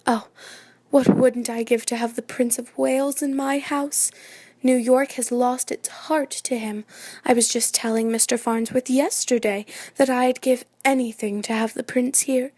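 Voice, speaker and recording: A woman playing an excitable, imperious New York society hostess and mother in a radio play, chattering and dramatic. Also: fairly high pitch, a little slow, dry recording. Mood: exasperated, gushing.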